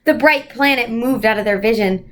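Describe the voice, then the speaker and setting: A woman narrating a children's novel aloud in an expressive read-aloud style. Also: a little high, moderate pace, slight background noise. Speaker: story reader.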